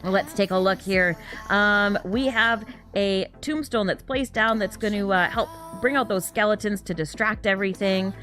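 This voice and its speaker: A woman's voice: medium pitch, moderate pace, a bit bright, faint music behind. A woman in her thirties doing live game commentary on a stream, chatty, with lots of little fillers. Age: 30s. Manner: chatty, laughs often, frequent "um" fillers. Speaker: esports caster.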